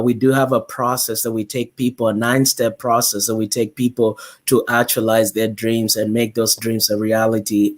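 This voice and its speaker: A man speaking as a guest coach, promoting his work in a conversational way. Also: medium pitch.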